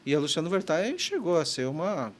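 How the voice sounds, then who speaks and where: A man's voice: on the high side, big pitch swings, fairly quick. A man from Brazil hosting an interview, chiming in with short affirmations and summing up what the guest says. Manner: brief affirmations, sums up the guest's points. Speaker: interview host. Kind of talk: interview conversation. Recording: faint background noise.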